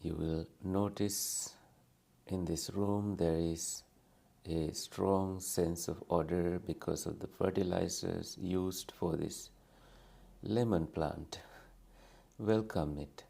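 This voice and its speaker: A man leading a guided meditation as a teacher, speaking gently and soothingly in short phrases with long pauses between them. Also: low voice, steady tone, gravelly.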